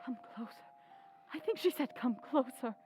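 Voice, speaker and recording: An actress playing a frightened, desperate, suspicious wife in an old-time radio horror play, halting and highly emotional. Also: female voice, lively intonation, dark tone, faint music behind. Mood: frightened, dazed.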